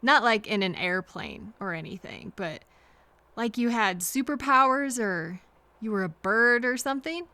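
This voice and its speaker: A woman playing a kind, apologetic train passenger in an intimate audio roleplay, speaking softly and hesitantly to reassure a frightened stranger. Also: a little high, no room sound. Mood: soothing. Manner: gentle, hesitant, reassuring, pausing for replies.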